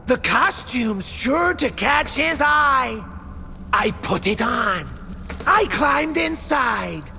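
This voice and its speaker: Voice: sits high, sing-song, slow pace, creaky, bright, forceful tone, big-room sound, soft background music, full character voice. An actor playing a manic, torture-loving masked executioner in a video game, speaking in theatrical sing-song rhymes. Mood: gleeful, sing-song. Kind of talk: video game character acting.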